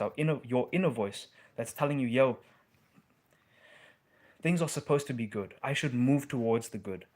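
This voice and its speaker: A young man talking loosely into his phone on a live stream, full of slang like "bro" and "dope". His pitch sits in the middle and his delivery is relaxed and conversational. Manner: laid-back slang, calls listeners bro. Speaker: live-stream host answering viewer questions. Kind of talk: casual live-stream chat.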